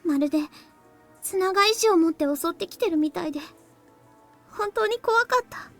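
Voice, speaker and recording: A voice actress playing a frightened, remorseful high school girl in a Japanese visual novel. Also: quick pace, a bit bright, faint music behind. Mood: subdued, fearful.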